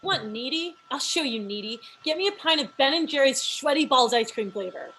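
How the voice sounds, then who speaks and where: Bright-voiced, needy actress girlfriend woman acting. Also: sits high, lively intonation, brisk, slight background noise, rough recording. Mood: demanding. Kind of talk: comedy script table read.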